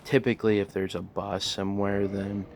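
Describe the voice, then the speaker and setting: A man hosting a casual let's play, talking in a monotone and rambling with many fillers. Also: lowish voice, normal speed, vocal fry, a little hiss or hum. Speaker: gaming channel host.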